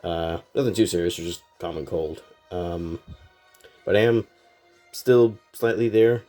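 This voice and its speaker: Low-voiced man with a stuffy nose. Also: measured pace, soft background music. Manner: rambling, relaxed, lots of fillers. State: congested, slightly nasal from a cold. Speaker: toy set reviewer. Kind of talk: casual product review.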